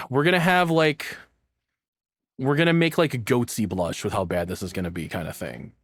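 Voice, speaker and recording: A man's voice: medium pitch, moderate pace, no room sound, clean studio sound. A man giving casual, joking reaction commentary as a video host, rambling and swearing in a flippant way.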